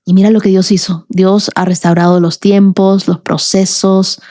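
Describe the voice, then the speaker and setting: A woman's voice: medium pitch, little pitch movement, moderate pace, mellow. A woman speaks as a devotional podcast host, tenderly and with emphasis, in short repeated phrases.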